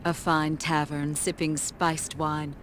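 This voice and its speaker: A woman's voice: low voice, little pitch movement, slow, fairly bright tone, a little hiss or hum. A woman playing a confident, wry traveller in a fantasy video game, with a poised and assured delivery. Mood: playful. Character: confident, wry female travelling adventurer and caravan contact. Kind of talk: video game dialogue acting.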